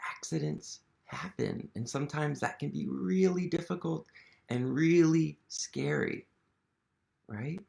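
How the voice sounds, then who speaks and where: A man reading a picture book aloud to children, gently asking them questions and sometimes dropping to a whisper. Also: medium pitch, creaky. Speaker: museum storytime reader.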